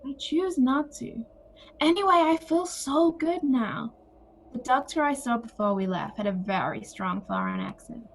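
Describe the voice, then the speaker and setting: A woman playing a calm, wry older sister and former literature teacher in an audio play. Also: high voice, unhurried, exaggerated voice, low-quality recording. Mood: content, wry.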